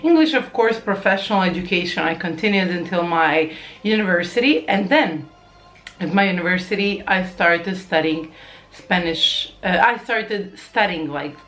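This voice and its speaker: A woman's voice: lowish voice, animated, moderate pace, fairly bright tone, soft background music, low-quality recording. A young woman from Croatia, whose first language is Croatian, chatting to camera about learning languages, eager and often restarting her sentences. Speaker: language vlogger. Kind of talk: casual talk to camera.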